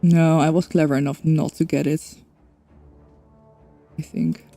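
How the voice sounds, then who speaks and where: A woman's voice: low voice, level tone, a little slow, mellow, soft background music. A woman from the Netherlands with a Dutch accent, chatting casually while streaming a game, rambling with lots of fillers. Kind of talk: casual gameplay stream chat.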